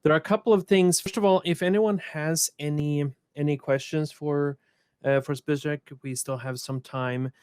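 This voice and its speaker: A man's voice: fairly high pitch, fairly even intonation, normal speed, a little mellow, smooth, no room sound. A man from Sweden moderating a conference panel, speaking thoughtfully with many restarts and repeated words.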